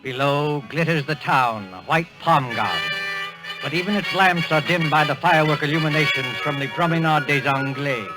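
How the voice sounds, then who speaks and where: A man's voice: fairly high pitch, rough recording. A man narrating an old-time radio drama in a dramatic, scene-setting manner, on a vintage recording.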